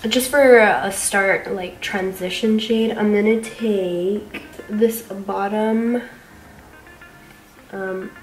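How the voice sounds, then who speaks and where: A woman's voice: medium pitch, extremely slow, far from the mic, soft background music, low-quality recording. Young woman, congested, chatty makeup vlog. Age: young adult. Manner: chatty, relaxed, with frequent ums and likes. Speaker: beauty vlogger. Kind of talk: casual makeup tutorial. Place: small dim bedroom.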